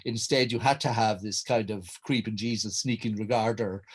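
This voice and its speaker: A man's voice: lowish voice, fairly even intonation, moderate pace, husky. A middle-aged Irish man reminiscing in conversation, articulate and wordy, often stammering and restarting as he searches for the exact phrase. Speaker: writer and director, panel guest. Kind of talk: reflective conversation recounting memories.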